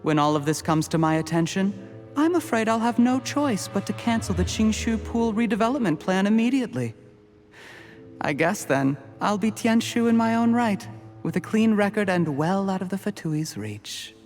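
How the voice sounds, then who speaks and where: A scheming game villain, cool and menacing, his voice pitched a little high. He lays out his plot in a calm, gloating tone while faint music plays underneath. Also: moderate pace. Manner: calm, menacing, drops to a hushed whisper. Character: scheming male official, smooth villain. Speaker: game character.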